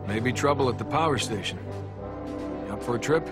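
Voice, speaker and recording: A man's voice: mid-range voice, a little fast, loud music behind the voice, natural voice. A man playing a cocky, teasing monster hunter in an English-dubbed video game cutscene. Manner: wisecracking, teasing.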